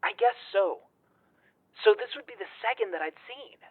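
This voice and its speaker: A man's voice: sits high, expressive, moderate pace, no room sound. A man playing a rattled young man confiding in his friends in an audio drama, speaking hesitantly over a muffled line. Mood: uneasy, reflective.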